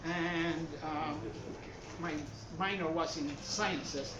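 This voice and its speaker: A man's voice: a little high, steady tone, drawn-out words. Puerto Rican man lecturing in an echoey gallery. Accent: Puerto Rican Spanish. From Puerto Rico. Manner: rambling, self-correcting, with many fillers. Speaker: art history presenter. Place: art gallery hall with an audience. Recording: far-off echoey room mic, dull and noisy.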